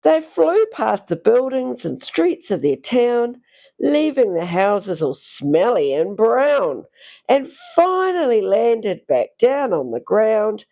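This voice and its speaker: A woman reading a rhyming children's picture book aloud in a theatrical, expressive way, drawing out phrases for young listeners. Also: a little high, slow, gravelly. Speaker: children's story reader.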